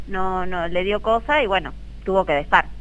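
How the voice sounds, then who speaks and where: A woman in her thirties with an Argentine accent, a guest on a radio interview, talking earnestly about her work with many quick agreeing "sí, sí"s. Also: fairly low pitch, a bit bright, clean tone, lo-fi sound. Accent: Argentine Spanish. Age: 30s. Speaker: guest, embalmer.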